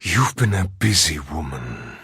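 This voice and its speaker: An actor playing a sly, menacing demonic spirit in a video game, with a silky, mockingly courteous delivery and a husky deep voice. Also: male voice, big pitch swings, unhurried, fairly bright tone, no echo, clean studio sound, exaggerated voice. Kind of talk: dramatic video game character acting.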